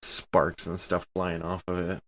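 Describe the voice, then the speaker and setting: A man's voice: low-pitched, completely flat delivery, a little slow, a bit bright. A man playing in a tabletop game podcast, improvising his character's story and chatting at the table.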